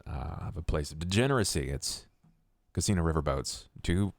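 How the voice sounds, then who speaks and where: A man improvising scene description for a tabletop game podcast in a halting but dramatic manner. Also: sits low, expressive, creaky, no room sound. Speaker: player on actual-play podcast.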